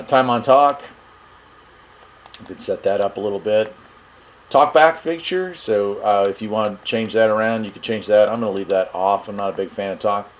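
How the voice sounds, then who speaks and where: A man giving a casual, folksy product demonstration, thinking aloud as he goes. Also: fairly low pitch. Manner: informal, folksy, thinking aloud while operating a device. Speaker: host of a radio review channel. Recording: clear steady background noise.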